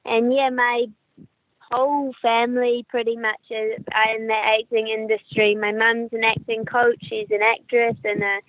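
A woman's voice: a little high, level tone, normal speed, no echo. Young New Zealand woman on a tinny call. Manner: friendly, chatty, with restarts and laughs. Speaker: actress, interview guest. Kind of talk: casual interview chat. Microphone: remote call. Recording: tinny, dry call audio.

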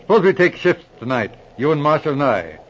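An actor playing a brisk, courteous police inspector in a 1940s radio drama, speaking with clipped authority. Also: male voice, fairly high pitch, very animated. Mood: businesslike. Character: brisk, courteous male Mountie inspector on wartime service. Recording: muffled, noisy old radio recording.

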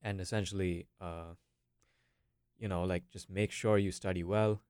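This is a young man from India with a deep voice and a smooth, clear tone. He is a student guest on a podcast, talking casually in a dry recording space. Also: steady tone, unhurried, a little mellow, no echo. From Bangalore, India. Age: late teens. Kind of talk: casual interview talk.

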